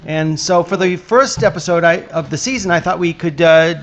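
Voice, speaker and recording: A man's voice: fairly high pitch, normal speed, lo-fi sound. A man hosting a podcast live, introducing the show. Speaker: podcast host. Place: live venue at a hotel.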